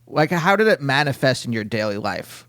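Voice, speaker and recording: A man's voice: mid-range voice, sing-song, moderate pace, fairly bright tone, no echo. A male podcast host asking probing questions, rephrasing them as he goes. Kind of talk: casual interview questions.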